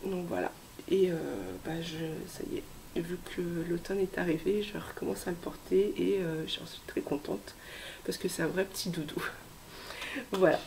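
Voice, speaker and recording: Hoarse woman chatting about her knitting. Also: lowish voice, steady tone, normal speed, slight background noise, low-quality recording. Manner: chatty, many hesitations and soft asides. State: hoarse from a cold. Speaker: knitting podcast host. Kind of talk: casual knitting vlog.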